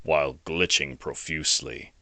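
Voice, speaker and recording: A man's voice: very deep voice, fairly even intonation, normal speed, gravelly. A man narrating a scripted action audio drama as a storyteller. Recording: noisy home recording.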